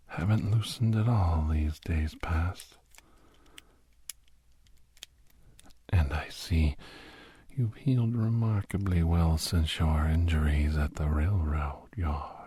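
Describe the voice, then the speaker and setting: A man's voice: sits low, a little mellow, no echo, heavily acted. A man playing a menacing, obsessed villainous doctor in a whispered ASMR roleplay, mock-polite and coldly gloating. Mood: mock-polite menace. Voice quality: breathy, husky near-whisper.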